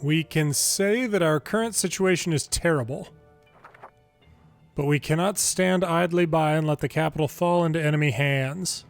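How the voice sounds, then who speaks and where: Male game narrator, slightly high voice, reads story text aloud with casual delivery, faint music underneath. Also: normal speed, clear, resonant tone. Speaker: game longplay narrator reading dialogue aloud. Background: video game music.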